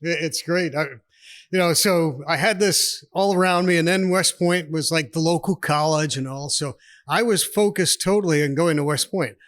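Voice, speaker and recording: A man's voice: fairly high pitch, moderate pace, fairly bright tone, dry recording, clean studio sound. A man in his sixties from New York State, a retired army officer telling stories as a podcast guest in a chatty, rambling way, laughing often. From New York State, USA. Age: 60s. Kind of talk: casual storytelling interview.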